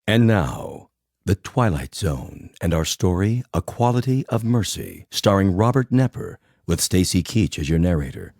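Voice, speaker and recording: Gravelly low male announcer. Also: very animated, a little slow, a little mellow, dry recording, clean studio sound. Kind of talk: radio drama title announcement.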